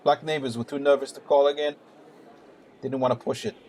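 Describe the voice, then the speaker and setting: A man's voice: medium pitch, flat delivery, a little fast, a little mellow, natural voice. A man playing a grim, weary police detective in a radio play, speaking in dramatic dialogue. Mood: grim, urgent. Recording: clear hum and background noise.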